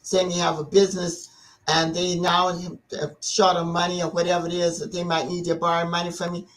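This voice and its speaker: A man's voice: sits high, completely flat delivery, a little fast, a bit bright, rough recording. An older man on a talk show panel giving firm, emphatic advice in a casual way.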